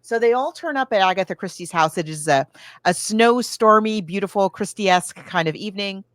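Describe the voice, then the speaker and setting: A woman's voice: mid-range voice, brisk, fairly bright tone, no echo, studio quality. A woman podcast co-host casually recapping a film, thinking aloud and backtracking as she goes, slipping into casual quoted asides. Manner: acts out characters' lines in casual asides.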